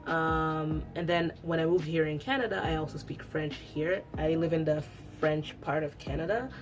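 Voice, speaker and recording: A woman's voice: sits low, steady tone, moderate pace, faint music behind. A young woman whose first language is Italian, chatting casually to camera at home, rambling with lots of restarts. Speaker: beauty vlogger. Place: at home after a shower.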